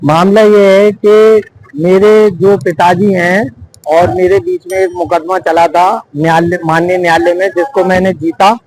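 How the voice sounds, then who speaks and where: A man slowly recounting a complaint to a reporter, pausing with fillers. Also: high-pitched, little pitch movement, a little mellow, slight background noise, poor audio. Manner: slow, deliberate, with pauses and fillers.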